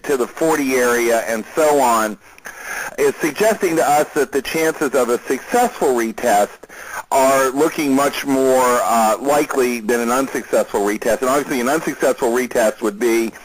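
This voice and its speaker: A man with a medium-pitched voice delivers informal market commentary as the analyst hosting a client conference call. He explains his views in a measured, careful manner, speaking off the cuff to his listeners. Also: low-quality recording.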